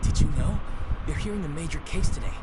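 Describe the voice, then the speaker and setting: A man's voice: a little high, quick pace, very noisy, lightly acted. An actor playing a gossipy, nosy townsman in a game dub, with an eager way of talking. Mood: gossipy, excited. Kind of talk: English game dub acting.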